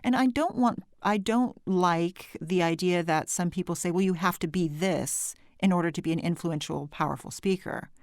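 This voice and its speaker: A woman from California, hosting a conversation with a lowish voice and a light edge of vocal fry. She talks chattily, laughs often and corrects herself as she goes. The sound is dry and close. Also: normal speed, few pauses, darkish tone, dry recording. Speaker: podcast host. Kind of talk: conversational interview.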